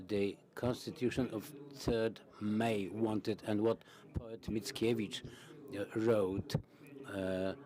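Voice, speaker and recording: Slow, halting male conference interpreter. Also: fairly low pitch. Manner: halting, many fillers and restarts. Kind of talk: simultaneous interpretation into English. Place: parliamentary conference hall.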